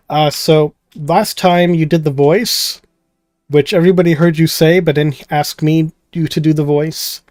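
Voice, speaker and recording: Rambling, jokey man, slightly high dry voice. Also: darkish tone, no room sound. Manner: rambling, jokey, skims text with filler words. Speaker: host playing a visual novel. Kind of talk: casual gameplay commentary, reading dialogue aloud.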